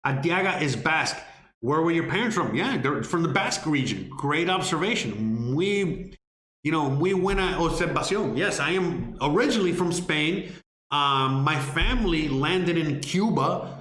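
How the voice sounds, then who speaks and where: A man's voice: fairly high pitch, expressive, moderate pace, a bit bright. A man from Miami hosting a live question-and-answer stream, blunt and profane, rambling with lots of "you know what I mean.". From Miami, United States. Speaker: livestream host answering viewer questions. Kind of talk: casual live Q&A chat. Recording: roomy echo.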